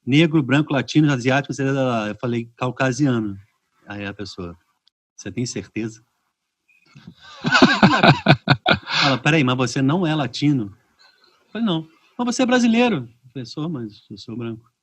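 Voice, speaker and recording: This is a Brazilian man in casual conversation over a video call. He tells stories with lively acted-out reactions. His voice sits at a middle pitch and sounds close and dry, with no room around it. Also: normal speed, pauses often, slightly rough, no echo. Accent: Brazilian Portuguese. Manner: animated storyteller, acts out reactions. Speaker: podcast host.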